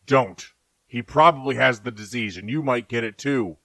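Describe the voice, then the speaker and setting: Man doing a male fantasy adventurer, medium pitch, urgent and alarmed, dry recording. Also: normal speed, a bit bright, dry recording. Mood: urgent warning. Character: alarmed male adventurer with sword, shield and snout. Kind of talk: acted dialogue in a narrated fantasy story.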